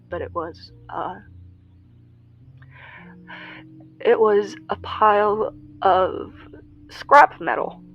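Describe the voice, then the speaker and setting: A woman playing a young female survivor broadcasting in a podcast-style audio drama, confiding and emotionally raw, with halting delivery. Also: fairly low pitch, highs cut off, natural voice. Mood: somber, halting. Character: young female survivor and pirate radio broadcaster, grieving, defiant.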